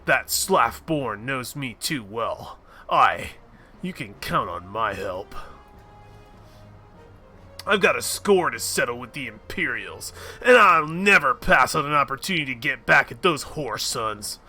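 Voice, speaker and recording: A man voicing a gruff male rogue who holds a grudge, reading game dialogue aloud in a lower, drawling voice. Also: big pitch swings, a little slow, pushed and bright, soft background music, exaggerated voice.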